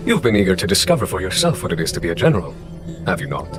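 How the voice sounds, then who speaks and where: A languid male general with a low voice that moves freely in pitch. He is courteous, formal and quietly amused, a composed game commander speaking over music. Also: wildly swooping pitch. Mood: composed, commanding. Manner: unhurried, courteous, wry, formal. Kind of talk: scripted video game voice acting.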